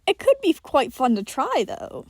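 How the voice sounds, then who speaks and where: A woman's voice: high-pitched, wildly swooping pitch, a little slow, darkish tone, no echo, clean studio sound, pitched above the performer's own voice, full character voice. A woman voicing a giddy, teasing inhuman creature in an audio story, airy and taunting. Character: giddy, teasing, uncanny inhuman spiral entity named Michael.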